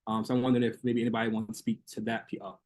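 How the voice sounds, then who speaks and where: A man's voice: fairly low pitch, little pitch movement, racing, darkish tone. A man presenting research in an academic Q&A on a video call, talking hesitantly with many fillers and restarts.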